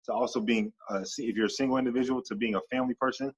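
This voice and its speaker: A man speaking as a podcast guest in a casual interview, animated and stacking long repeated lists as he talks. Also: mid-range voice, very fast, slightly rough, lo-fi sound.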